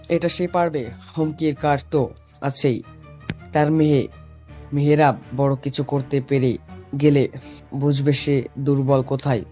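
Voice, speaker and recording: A man's voice: medium pitch, level tone, a little slow, pauses often, natural voice. A man acting a ruthless, scheming businessman father in an audio story, confident and dismissive. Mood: cool scheming. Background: music.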